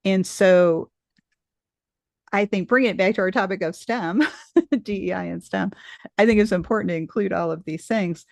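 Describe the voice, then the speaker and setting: A woman's voice: mid-range voice, normal speed, vocal fry, pauses often, no room sound, clean studio sound. A middle-aged woman hosting an interview, talking in a thoughtful, rambling way with frequent restarts. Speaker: podcast host interviewing a guest. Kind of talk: casual conversational interview.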